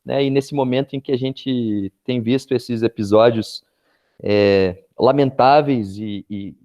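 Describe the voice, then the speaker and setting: A man from Brazil giving an earnest, measured talk on poetry over a video call, often checking in with a short tag question. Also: medium pitch, dark tone. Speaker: poet and teacher. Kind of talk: reflective spoken address at an online poetry event.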